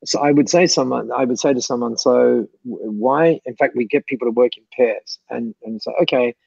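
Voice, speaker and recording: A man's voice: medium pitch, normal speed, creaky, a little mellow, slightly rough, no echo. A man answering questions as a guest speaker in chatty, repeating speech that breaks into laughs, on a dull lo-fi call recording. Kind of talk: mentoring Q&A on a call.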